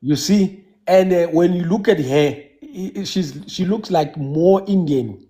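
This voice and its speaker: Halting high-voiced South African man. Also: warm tone. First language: Xhosa. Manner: halting, many restarts and fillers. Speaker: caller. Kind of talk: casual live chat.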